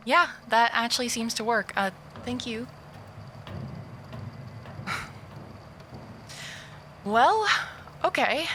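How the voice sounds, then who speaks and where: A woman's voice: fairly high pitch, expressive, drawn-out words, long pauses, background noise. A woman playing a weary, wry private investigator recording a hesitant, dry voice log in an audio drama. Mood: hesitant, uneasy.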